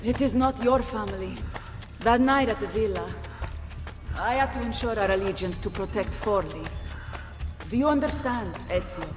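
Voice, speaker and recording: A woman playing a proud, sharp-tongued countess in a video game, with an Italian accent and a biting, forceful manner. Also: medium pitch, moderate pace, close to an everyday voice. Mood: bitter. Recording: long reverb, music behind.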